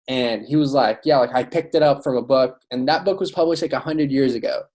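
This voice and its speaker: Young man, medium pitch, podcast guest, informal, enthusiastic, conversational filler words. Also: brisk, darkish tone. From born in Iraq. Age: young adult. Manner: informal, enthusiastic, peppered with "like" and "you know". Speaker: podcast guest, student author and educator. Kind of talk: casual interview talk.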